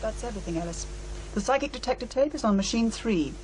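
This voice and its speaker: A woman acting in a radio drama as a brisk, practical studio technician. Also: on the high side, animated, background noise, natural voice. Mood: businesslike.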